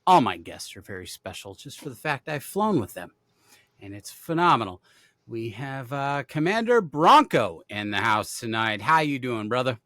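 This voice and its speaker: An upbeat man from Denver hosts a casual gaming podcast, rambling happily through lots of fillers and restarts. His voice sounds bright and forceful. The recording is dry and close to the mic. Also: medium pitch, very animated, moderate pace, no echo. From Denver, USA. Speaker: podcast host.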